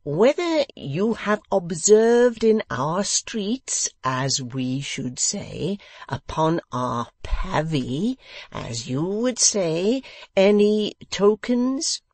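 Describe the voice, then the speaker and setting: A reader voicing a pompous, condescending English gentleman host who talks slowly, loudly and overenunciates as if to a deaf child. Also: high-pitched, very animated, no echo, pitched above the performer's own voice, full character voice.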